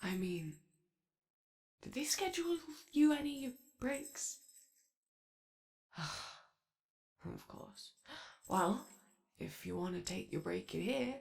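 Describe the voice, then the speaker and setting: A woman voicing an anxious, friendly animatronic daycare robot in a halting, soft ASMR roleplay. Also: medium pitch, creaky, heavily acted. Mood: gentle, rambling. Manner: halting, hesitant, rambling, eager to please.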